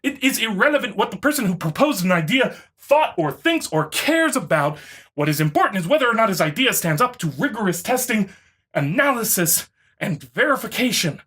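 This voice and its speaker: A sarcastic commentator talks off the cuff in a rough, husky voice. The delivery is blunt and dismissive, swinging freely up and down. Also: medium pitch, big pitch swings, brisk, a bit bright. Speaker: video commentator.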